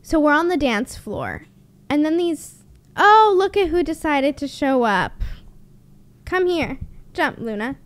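A young woman with a high voice talks close into a studio microphone in a small room at home. She tells a story as a podcast host, casual and blunt, with dry sarcasm and some swearing. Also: sing-song, takes it slowly. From Canada. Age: young adult. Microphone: studio mic on boom arm, close.

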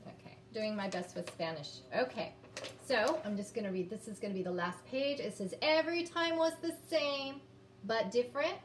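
A woman in her fifties teaching young children, sounding out words and reading aloud in a deliberate, encouraging way. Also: on the high side. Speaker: early-grades teacher. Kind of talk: children's phonics lesson and read-aloud. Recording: roomy, distant mic, background noise.

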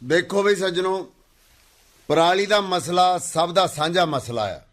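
A man's voice: sits high, a little slow, fairly bright tone. A man playing an authoritative village headman in a radio play, a folksy, commanding peacemaker with a Punjabi accent. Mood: mediating.

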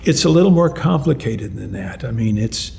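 An older man with a gravelly, creaky voice answers interview questions in a cozy lounge. He talks in an animated way and often restarts or repeats words. His pitch sits in the middle range. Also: normal speed, slight background noise. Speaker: retired mathematics professor. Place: lounge-like room with armchairs and lamps, indoors.

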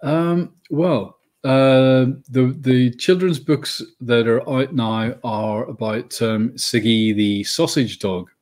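A middle-aged man with a Northern Irish accent, chatting as a guest on a video call from home, often pausing with ums and restarting his sentences. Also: medium pitch, a little mellow. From Northern Ireland. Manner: hesitant, many ums and restarts. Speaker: guest, children's book author. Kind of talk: casual interview chat. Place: home room.

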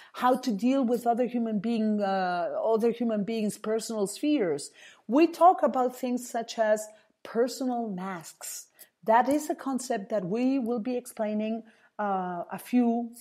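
Hoarse lady host, kinda high voice, earnest, repeats stuff for listeners. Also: warm tone. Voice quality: husky, strained voice. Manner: earnest, instructive, repeats key phrases. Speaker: radio show host. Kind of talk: solo talk show, answering a listener question.

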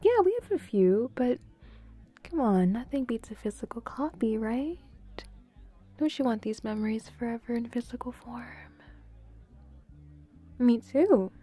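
A woman playing a bubbly, playful, affectionate young girlfriend in an intimate roleplay audio, giggly and given to quick repeated phrases. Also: fairly high pitch, breathy edge, soft background music. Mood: playful, excited. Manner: giggly, breaks into short excited repeats.

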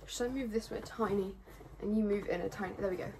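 A woman's voice: medium pitch, fairly even intonation, quick pace, darkish tone. A young woman hosting a casual video, chatting and explaining a game in a bedroom. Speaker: vlog host. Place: small bedroom indoors, sitting on a bed. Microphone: camera a couple of metres away. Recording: home camera recording with clear noise.

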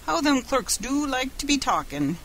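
A woman's voice: sits high, sing-song, normal speed, a bit bright, a little hiss or hum, pitched above the performer's own voice, exaggerated voice. A woman voicing a stubborn, sardonic Irishman working as an express agent, with a folksy, dogged way of talking. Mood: sly, grumbling.

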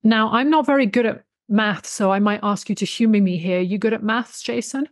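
A woman's voice: mid-range voice, brisk, no echo, clean studio sound. A woman in her thirties explaining her subject emphatically and conversationally as an interview guest. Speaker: interview guest, author and expert on folk spirituality. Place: small indoor room with bookshelves, video call. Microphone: desktop USB condenser microphone, close.

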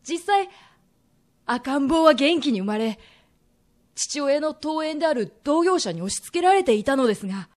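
A woman's voice: high voice, animated, moderate pace. A woman playing a remorseful, earnest young merchant in a radio drama, telling his story as an emotional confession. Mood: earnest, restrained. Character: reformed young merchant man, earnest, remorseful, pleading son.

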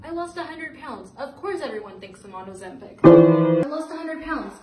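A woman's voice: high voice, expressive, moderate pace, over loud music. A woman speaking in short, repeated statements for a social media skit about herself. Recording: echoey, noisy, distant microphone.

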